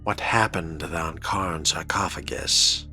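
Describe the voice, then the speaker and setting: A man's voice: very deep voice, normal speed, sharp tone, faint music behind, exaggerated voice. A man voicing a young fledgling vampire in a video game, terse, inquisitive and a little impatient. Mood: blunt, pressing. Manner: terse, probing questioner. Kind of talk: role-played video game dialogue.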